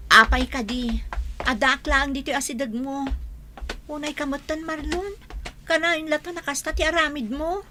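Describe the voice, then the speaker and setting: A woman's voice: sits high, steady tone, normal speed, noisy background, lightly acted. A woman playing an exasperated, scolding wife in a radio drama. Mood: annoyed. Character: nagging, exasperated wife scolding her irresponsible man. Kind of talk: radio drama acting in Ilocano.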